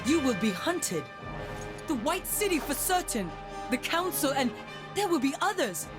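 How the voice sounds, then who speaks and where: Proud, stern angel warrior. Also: female voice, sits high, very animated, a little slow, over music. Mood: solemn warning. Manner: formal, solemn, dramatic. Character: proud, stern female angel warrior, honor-bound and vengeful. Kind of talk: video game cutscene acting.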